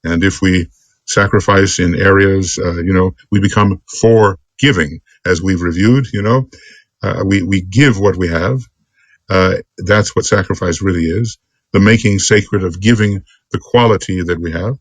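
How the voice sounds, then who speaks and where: A man giving an extemporaneous spiritual lecture as a teacher, speaking earnestly in deliberate, halting phrases. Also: low-pitched, no room sound. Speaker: esoteric teacher.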